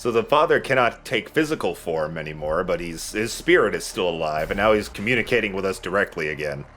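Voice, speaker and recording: Guy doing lets play commentary, lowish voice, casual explaining, bit of game noise in the back. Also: lively intonation, brisk, a bit bright. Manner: explanatory, informal, hesitant phrasing. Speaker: Let's Play host. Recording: faint game noise behind. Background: video game sound.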